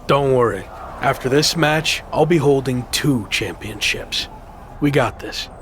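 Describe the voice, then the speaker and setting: An actor playing a cocky, ambitious young wrestler in a video game, speaking in an assertive, argumentative way. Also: male voice, medium pitch, measured pace, fairly bright tone, slight background noise, natural voice. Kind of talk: scripted video game cutscene acting.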